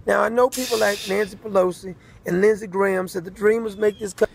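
A man's voice: sits high, steady tone, a little fast, vocal fry, a little hiss or hum. A man delivering a rambling political rant, repeating himself emphatically. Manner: repetitive, emphatic.

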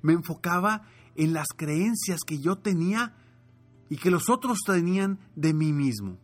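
A man's voice: fairly high pitch, expressive, unhurried, soft background music. A man telling an inspirational story and giving motivational talk in an earnest, emphatic way with repeated phrases.

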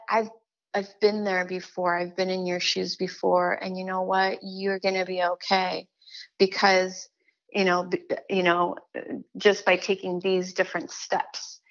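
A woman's voice: medium pitch, a bit bright, muffled recording. A woman from Chile and the United States giving earnest, emphatic, conversational interview answers as a musician, often repeating her phrases. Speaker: musician, singer-songwriter and teaching artist.

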